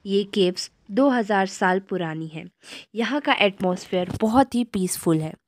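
A woman with an Indian accent reading a measured, informative travel voice-over, mixing in English terms. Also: medium pitch, lively intonation, a little hiss or hum. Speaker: vlogger narrating a travel guide. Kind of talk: scripted informational voice-over narration.

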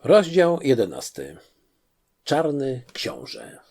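Gravelly older man telling a children's story. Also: mid-range voice, wildly swooping pitch, takes it slowly. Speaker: audiobook narrator. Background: music.

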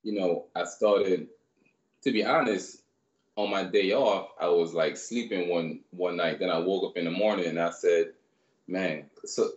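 Man, thirties, from Barbados, very deep voice, relaxed, filler-heavy, lo-fi video call. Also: a little slow. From Barbados, raised in New York. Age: 30s. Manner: relaxed, rambling, many fillers. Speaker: guest, personal trainer. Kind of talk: casual storytelling interview. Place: small room indoors. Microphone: video call webcam.

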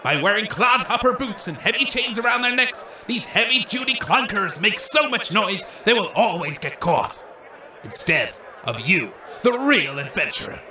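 A man's voice: high voice, expressive, normal speed, bright, forceful tone, slight background noise, full character voice. A man acting as a brash wasteland weapons salesman, theatrical and grandiose, in a comedy radio drama. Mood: salesmanlike. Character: brash male wasteland arms salesman showman. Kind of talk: comedy radio drama, stage announcer patter. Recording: echoey, slightly distant from the mic.

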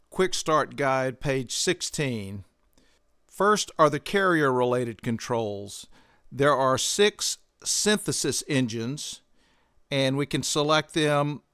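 A man presenting an instructional tutorial as scripted narration, measured and methodical, going step by step. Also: medium pitch, a bit bright, clean tone, no room sound, studio quality. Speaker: presenter of a synth module tutorial series.

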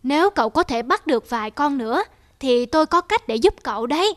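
A woman voicing a weary old male traveler, gentle and courteous, in a cartoon folk tale. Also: high voice, expressive, fairly quick.

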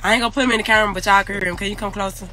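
A woman's voice: medium pitch, faint music behind. A young woman with a Memphis Southern accent, talking casually to fans on a phone livestream from inside a private jet. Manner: Southern slang, fast and animated. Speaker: rapper on a livestream. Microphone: phone held at arm's length.

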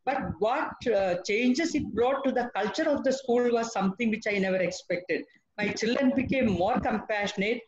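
A woman's voice: fairly high pitch, moderate pace, a little hiss or hum. An older woman, a former school principal speaking hesitantly with many fillers on a video call. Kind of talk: panel discussion remarks. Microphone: laptop webcam on video call. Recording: muffled video call audio.

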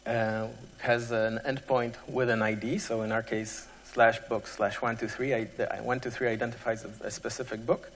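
A man giving a technical presentation to an audience as a conference speaker, pausing often mid-sentence. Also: mid-range voice. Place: large conference hall. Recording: echoey hall with long reverb.

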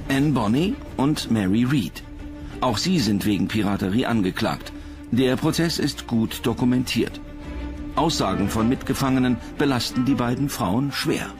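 A man's voice: mid-range voice, animated, a little slow, music in the background. A man reading scripted documentary narration as the narrator.

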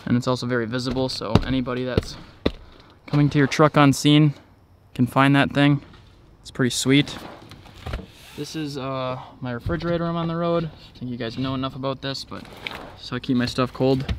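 A man's voice: mid-range voice, fairly even intonation, moderate pace, background noise. A man vlogging outdoors, narrating a casual walkaround in a rambling, informal way. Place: outdoors in a driveway beside a truck.

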